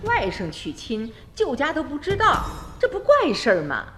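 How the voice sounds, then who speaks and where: A gossipy village wife with a high, lively voice. She is plain-spoken and put out, in radio-drama style, with long reverb and music. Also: moderate pace, exaggerated voice.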